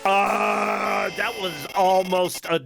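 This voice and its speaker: A man's voice: high-pitched, level tone, extremely slow, fairly bright tone. A man giving enthusiastic, conversational live commentary on a gaming tournament. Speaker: esports commentator. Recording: game music behind the voice.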